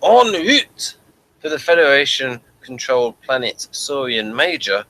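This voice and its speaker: A man's voice: medium pitch, sing-song, a little slow, sharp tone, rough recording. A man hosting a casual TV review show, talking and reading out material. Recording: faint background noise.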